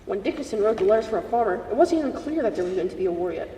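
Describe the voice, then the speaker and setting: A man's voice: sits high, animated, rapid-fire, dark tone. A teenage boy playing reasonable, polite historical characters in an amateur puppet show, reciting the script matter-of-factly. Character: reasonable, knowledgeable debater, later a grateful wounded soldier. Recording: echoey room, distant mic, faint noise.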